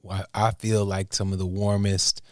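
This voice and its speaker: Low-voiced American man, casual guest. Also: little pitch movement, normal speed, no room sound, clean studio sound. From Portland, Oregon, United States. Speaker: interview guest, music producer and inventor. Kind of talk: casual conversational storytelling.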